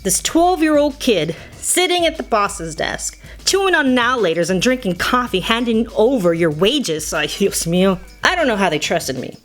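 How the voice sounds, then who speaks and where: Woman telling nostalgic stories over music. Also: mid-range voice. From United States. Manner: playful, nostalgic, slipping into Spanish phrases. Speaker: storyteller. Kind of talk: personal scripted storytelling narration.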